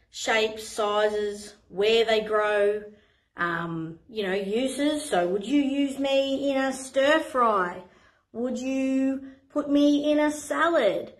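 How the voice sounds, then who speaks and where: An Australian woman in her thirties, a playgroup facilitator, explaining games to parents in a chatty, encouraging way with many ums. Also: on the high side. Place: playroom with a screen, indoors. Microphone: camera a few steps away. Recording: echoey room.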